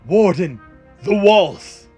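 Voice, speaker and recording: A man's voice: high voice, expressive, extremely slow, darkish tone, slightly rough, soft background music, voice pushed up, full character voice. A man voicing commanding, resolute soldiers of an ancient Greek fantasy in an audio drama, declaiming urgent orders.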